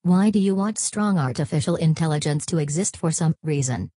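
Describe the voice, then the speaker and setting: A woman playing an old therapist chatbot who answers flatly and turns every question back on the asker. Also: deep voice, a little fast, breathy edge, no echo, studio quality. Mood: deadpan. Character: literal-minded early therapist chatbot, female.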